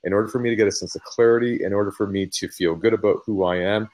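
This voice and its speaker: Upbeat man in his forties, low voice. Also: fairly even intonation, rapid-fire, darkish tone. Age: 40s. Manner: upbeat, laughs easily. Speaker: host. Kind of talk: casual chat.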